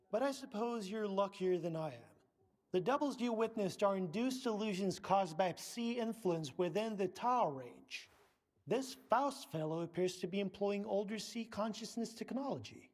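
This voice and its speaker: A man's voice: sits high, a little mellow. A man playing a pompous scientist and research director in a video game, speaking formally, precisely and with condescension. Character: pompous middle-aged male scientist, director of research center.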